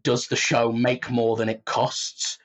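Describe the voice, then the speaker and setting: A man's voice: mid-range voice, completely flat delivery, slow pace. A man in a casual interview, self-deprecating and prone to acting out his own thoughts. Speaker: audio drama writer and creator, interview guest. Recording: slightly dull, muffled sound.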